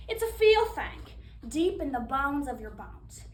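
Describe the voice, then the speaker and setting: A woman performing a sassy, devout diner waitress in a theatrical monologue, preaching with emphatic, dramatic pauses. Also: high voice, big pitch swings. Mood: fervent, emphatic. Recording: echoey room, distant mic, background noise.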